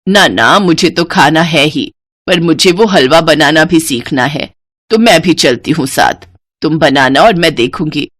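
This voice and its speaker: Casting: female narrator as nagging middle-aged mother-in-law, gullible and bossy, lowered pitch, storybook dialogue. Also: lively intonation, unhurried, a bit bright, exaggerated voice. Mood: coaxing, insistent. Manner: bossy, nagging, easily fooled. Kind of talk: acted dialogue in a Hindi moral story.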